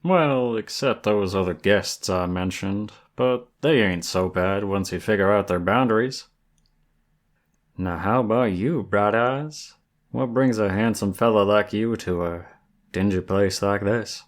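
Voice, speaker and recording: A man's voice: on the low side, a little slow, lightly acted. A man voicing a flirty, friendly werewolf guide in an ASMR roleplay, with a folksy, teasing Southern US drawl. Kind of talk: ASMR roleplay, intimate one-sided dialogue.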